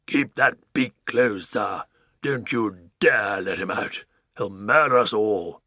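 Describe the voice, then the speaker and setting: An actor playing a pompous, blustering old duke who bellows his orders slowly and emphatically. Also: mid-range voice, gravelly, bright, forceful tone, dry recording, clean studio sound, full character voice. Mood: alarmed.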